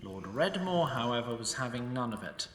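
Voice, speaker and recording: A man's voice: medium pitch, sing-song, moderate pace, fairly bright tone. A man narrating a comic fantasy story in a dry, wry storytelling manner. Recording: echoey room, from a distance.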